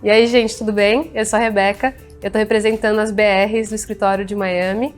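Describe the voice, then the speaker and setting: A woman's voice: mid-range voice, level tone, fast talker, faint music behind. A woman from São Paulo, Brazil, with a São Paulo accent, giving an upbeat, friendly video introduction.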